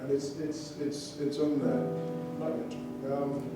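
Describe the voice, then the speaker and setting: A man's voice: mid-range voice, fairly even intonation, takes it slowly, loud music behind the voice. A man telling a life story to a live audience between songs, talking conversationally with fillers and restarts. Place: concert venue. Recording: distant echoey hall recording with audience ambience.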